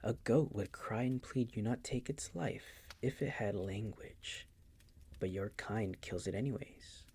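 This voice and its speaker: A man playing a possessive, condescending vampire master in an intimate roleplay audio, teasing in a breathy, gravelly, often whispered voice. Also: lowish voice, a little mellow. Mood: coolly disdainful. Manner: slow, teasing, condescending purr.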